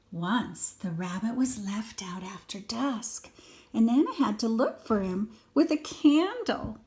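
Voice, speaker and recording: Older woman, grandmotherly storybook reader, unhurried, with gentle murmured asides to a little listener. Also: medium pitch. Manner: gentle explaining to a small child, murmured asides. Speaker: grandmother reading a picture book aloud. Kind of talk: children's story read-aloud with chatty asides.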